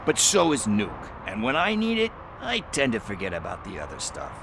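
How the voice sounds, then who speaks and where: Deep-voiced, wheedling junkie informant. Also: male voice, wildly swooping pitch, normal speed, noisy background. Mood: chatty, pleading. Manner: slangy, folksy, hesitant street talk. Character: chatty, wheedling male junkie street informant and petty thief. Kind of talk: video game cutscene acting.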